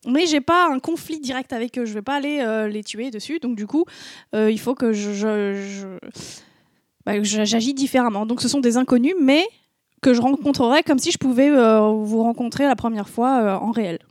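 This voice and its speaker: A woman's voice: fairly high pitch, normal speed, darkish tone, dry recording, studio quality. A young woman on a panel, talking in a frank and casual way about her gaming. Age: young adult. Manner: frank, casual, laughs at herself. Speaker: panel guest, gamer.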